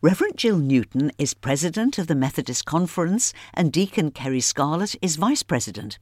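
A radio presenter moves between scripted introductions and questions to guests. The pitch is mid-range and moves in a very animated way. The sound is close and dry, with little room. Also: brisk, dry recording.

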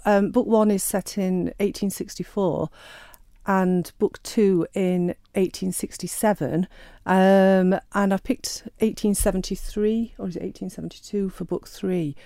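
Author guest, woman, mellow lowish voice, chatty and hesitant, dry close sound. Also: no echo. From Sheffield, England. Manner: chatty, hesitant with many ums and restarts. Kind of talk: radio interview.

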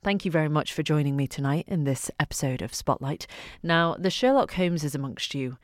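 A woman with a Manx accent and a deep voice for a woman, presenting a radio arts show with playful scripted links. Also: lively intonation, fairly quick, no echo, clean studio sound.

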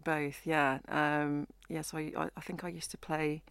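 A young woman with a gravelly, low voice chats modestly about her music. She restarts her sentences often. Her voice is recorded dry and close in a studio. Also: flat delivery, unhurried, fairly bright tone, no room sound. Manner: modest, rambling, with frequent restarts and laughs. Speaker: singer and musician guest. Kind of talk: casual interview chat.

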